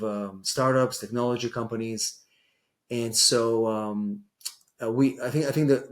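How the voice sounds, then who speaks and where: A middle-aged man with an Israeli accent, an immigration attorney answering interview questions with frequent ums and restarts. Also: mid-range voice. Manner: hesitant, many fillers and restarts. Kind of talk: casual interview conversation.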